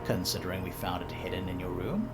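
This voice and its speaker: A man's voice: sits low, fast talker, natural voice. A man voicing a polite, inquisitive detective in a video game, asking probing questions. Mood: inquiring. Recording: game audio with music underneath.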